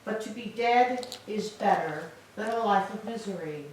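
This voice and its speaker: A woman playing a proud, despairing young widow held captive, speaking in formal, measured declamation in a staged reading of Greek tragedy. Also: mid-range voice, close to an everyday voice. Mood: bitter sorrow. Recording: echoey hall, distant mic, faint noise.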